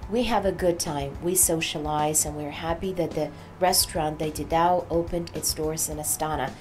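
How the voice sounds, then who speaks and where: Lowish-voiced woman explaining over faint music. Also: fairly even intonation, moderate pace, a little mellow. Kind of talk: explanatory feature talk.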